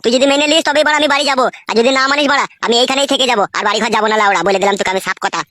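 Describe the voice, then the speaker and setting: A woman's voice: fairly high pitch, racing, fairly bright tone, no room sound, clean studio sound, lightly acted. A woman playing a crude, swaggering husband in a comedy cartoon, with a coarse, bossy way of talking. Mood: demanding. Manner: coarse, brash, bossy, swearing. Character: crude, swaggering young husband with two wives.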